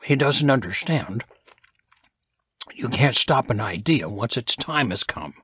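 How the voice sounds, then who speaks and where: Audiobook role: grandiose male plotter, played by a male reader, rhetorical and insistent, highly animated intonation. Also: slow, husky, muffled recording, full character voice. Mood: emphatic, insistent. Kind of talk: audiobook character dialogue.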